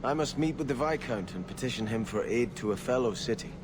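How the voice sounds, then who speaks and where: A man's voice: medium pitch, expressive, fast, natural voice. An actor playing a grave exiled nobleman in a fantasy game, speaking formally and earnestly. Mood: grateful, grave. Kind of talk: fantasy video game acting. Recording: noisy game capture.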